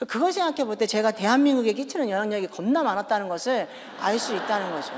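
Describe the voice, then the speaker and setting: A woman in her fifties with a Chungcheong accent, a pastor's wife giving a joking, animated testimony in a large church. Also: medium pitch, fast, background noise. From Daejeon, South Korea. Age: 50s. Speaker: pastor's wife, guest speaker. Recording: echoey church hall.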